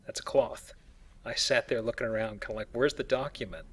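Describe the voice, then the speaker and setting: A man's voice: mid-range voice, a little fast, a bit bright. A man giving casual, wry commentary as he plays a video game. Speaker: gamer hosting a let's play. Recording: faint noise behind voice. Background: game ambience.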